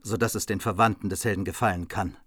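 An actor playing a noble, generous margrave who speaks with dignified, courteous formality. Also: male voice, lowish voice, fairly even intonation, fast talker, a bit bright, dry recording, studio quality. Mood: courtly. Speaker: actor in radio drama. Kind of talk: formal radio play acting.

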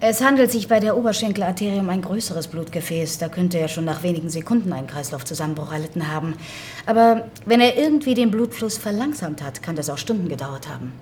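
A woman's voice: lowish voice, animated, fast, a little mellow, a little hiss or hum, close to an everyday voice. A woman playing a young, single-minded army pathologist in a radio drama, clinical and matter-of-fact. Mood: sober, detached. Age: 30s.

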